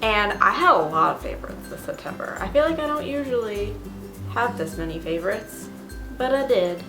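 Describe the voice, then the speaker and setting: Young woman, stuffy cold voice, casual gushing vlog chat, mid pitch, echoey room. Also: animated, moderate pace. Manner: chatty and gushing, with many fillers. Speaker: beauty vlogger. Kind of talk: casual monthly favorites chat. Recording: roomy echo with music behind.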